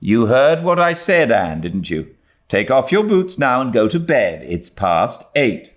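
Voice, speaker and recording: A man's voice: medium pitch, sing-song, unhurried, pitched above the performer's own voice. A man voicing a stern, sarcastic older woman who scolds curtly in a storybook reading. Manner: curt, scolding, emphatic.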